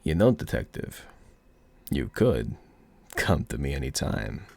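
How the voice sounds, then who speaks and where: A man's voice: extremely low pitch, big pitch swings, measured pace, gravelly, darkish tone, heavily acted. A man playing a cocky, flirty, menacing mafia boss in an audio roleplay, with a put-on mobster accent and a smug, teasing drawl.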